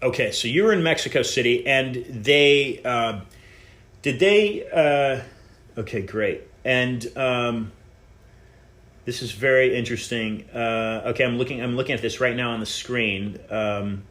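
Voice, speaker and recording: A man hosting a live call-in show, introducing and questioning a guest in a halting way, with many restarts. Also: mid-range voice, a bit bright. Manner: halting, full of restarts and fillers. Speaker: podcast host.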